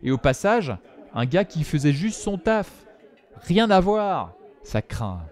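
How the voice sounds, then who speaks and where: A man playing an excitable bar regular who chatters in slang, in a French audio drama. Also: a little high, takes it slowly, some chatter behind. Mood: excited, gossipy.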